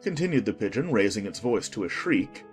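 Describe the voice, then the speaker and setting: Expressive male storyteller, lively intonation. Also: on the low side, brisk, faint music behind. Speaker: narrator. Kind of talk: scripted audiobook storytelling.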